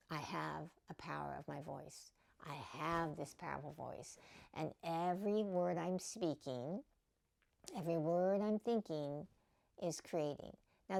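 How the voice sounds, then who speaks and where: A woman with a low voice and a gravelly edge gives a casual spiritual teaching. She talks in a loose, conversational way, full of fillers and little check-ins with the listener. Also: darkish tone. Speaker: spiritual teacher and podcast host.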